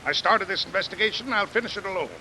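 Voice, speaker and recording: An actor playing a pompous, blustering middle-aged newspaper publisher with fussy, orotund delivery in 1940s radio drama style. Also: male voice, sits high, racing, sharp tone, low-quality recording. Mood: blustering, indignant. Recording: hissy vintage radio recording, rain behind.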